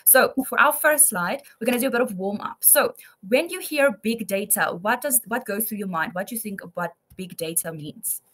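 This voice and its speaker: A woman's voice: on the high side, lively intonation, normal speed, hardly any pauses, fairly bright tone. A woman in her twenties teaching a one-to-one online English lesson in an encouraging, affirming manner. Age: 20s.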